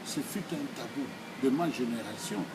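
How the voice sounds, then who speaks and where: A man in his sixties with a Congolese accent, giving formal answers in a deliberate, emphatic, oratorical way, phrase by phrase. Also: medium pitch, very noisy. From Democratic Republic of the Congo. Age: 60s. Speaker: speaker answering questions.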